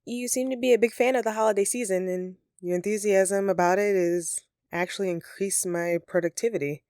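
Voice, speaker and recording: A woman's voice: mid-range voice, steady tone, fairly quick, darkish tone, smooth, no echo, clean studio sound, natural voice. A woman playing a flustered, friendly Christmas elf secretly living as a roommate, speaking hesitantly and earnestly in an audio roleplay to the listener. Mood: grateful, affectionate. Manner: hesitant, sheepish, conversational and earnest.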